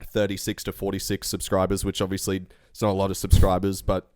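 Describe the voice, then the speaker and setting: Male podcast host, low smooth voice, quick upbeat delivery, dry close mic. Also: monotone, a little mellow, no echo. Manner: upbeat, runs the show. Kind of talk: casual podcast chat.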